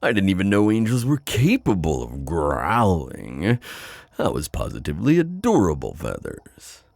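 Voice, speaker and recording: A man playing a teasing, predatory buyer at a supernatural auction in a flirty, intimate ASMR roleplay, with a deep, breathy, taunting delivery. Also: huge pitch swings, darkish tone, no room sound, full character voice. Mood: teasing, menacing. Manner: slow, taunting, smooth-talking with drawn-out pauses.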